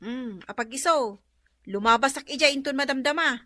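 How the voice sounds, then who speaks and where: Very high, bright nosy relative. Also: female voice, moderate pace, no echo. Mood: scolding, teasing. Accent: Ilocano. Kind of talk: comedic radio drama acting.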